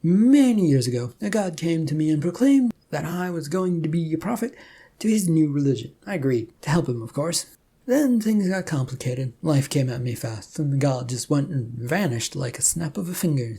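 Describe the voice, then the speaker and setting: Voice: medium pitch, lively intonation, normal speed, dark tone, slightly rough, voice pushed up. A storyteller voicing a chatty, cheerful old man who breezily claims to be a prophet in an audiobook. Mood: wistful. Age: older. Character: old George, slow cheerful eccentric self-proclaimed prophet.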